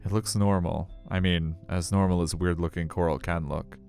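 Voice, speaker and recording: A man's voice: low-pitched, fairly even intonation, normal speed, creaky, darkish tone. A man reading a visual novel aloud as a streamer, in a storytelling style. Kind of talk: storytelling narration with casual asides. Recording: music under the voice.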